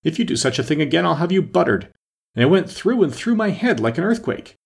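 A man voicing a fretful, excitable old storybook king with a fussy, exclaiming manner. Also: on the high side, fairly quick, gravelly, slightly rough, voice pushed up, full character voice. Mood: indignant, flustered.

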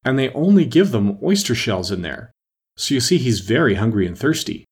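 A man's voice: mid-range voice, big pitch swings, normal speed, husky, exaggerated voice. A man voicing an eager, fussy storybook messenger with a confiding, impatient manner.

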